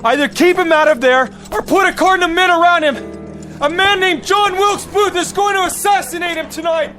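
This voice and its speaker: High-pitched, desperate man. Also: fairly quick, music in the background. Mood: frantic. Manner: insistent, pleading, indignant. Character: desperate, agitated man urgently warning of disaster. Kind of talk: radio drama acting.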